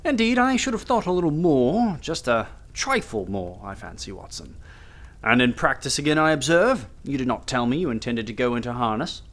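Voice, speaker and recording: A man's voice: mid-range voice, big pitch swings, normal speed, a bit bright. A man voicing a brilliant, confident, teasing detective in an audiobook, speaking in a clipped, self-assured, theatrical way. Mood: musing, teasing. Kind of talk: audiobook character dialogue.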